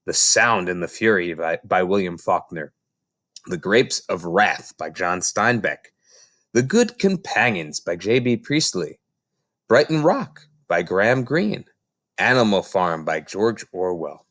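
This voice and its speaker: A man reading a children's novel aloud as an expressive audiobook narrator. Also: sits low, measured pace, dry recording, studio quality. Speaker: storybook reader.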